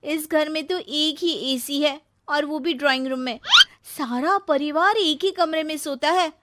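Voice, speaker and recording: A woman voicing an emotional young married woman in a cartoon story, high and pleading. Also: measured pace, very noisy. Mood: complaining. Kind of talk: Hindi cartoon story voice acting.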